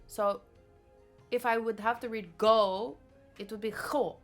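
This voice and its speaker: A young woman, her Afrikaans, talks through an episode review in a rambling, quick way. Her voice sits a touch high and jumps up when she gets excited. Faint music plays behind her. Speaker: anime reaction vlogger. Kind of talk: casual review chat.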